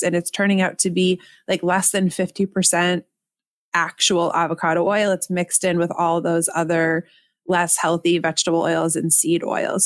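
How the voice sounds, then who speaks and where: A woman with a fairly low voice explains things steadily as a co-host. Her voice is recorded dry and close, with no room sound. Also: no echo. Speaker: podcast co-host. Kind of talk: casual conversational chat.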